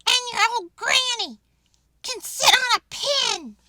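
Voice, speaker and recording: A woman voicing a rude, angry male little pig who yells defiantly in a children's storybook read-aloud. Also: expressive, slow, heavy background noise, full character voice. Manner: shrill, loud, defiant yelling.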